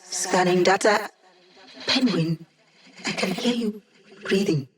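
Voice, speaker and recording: A woman plays a sly young hacker who teases her team with wry one-liners. Her voice sits at an ordinary middle pitch, and she keeps the banter light and knowing. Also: natural voice. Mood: teasing. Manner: wry, teasing, quick quips. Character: witty young female hacker, playful and sly. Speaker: hacker support character. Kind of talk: video game voice lines.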